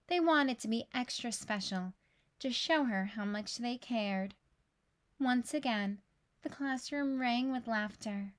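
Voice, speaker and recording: Woman doing a kids read aloud, really high voice, slow and expressive. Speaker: read-aloud storyteller for young children. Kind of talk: scripted picture-book read-aloud.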